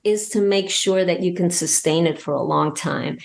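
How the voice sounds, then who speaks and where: A woman's voice: fairly low pitch, moderate pace, vocal fry. A woman speaking as an interview guest, explaining things casually with hesitant phrasing. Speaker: guest, voice actor and scriptwriter.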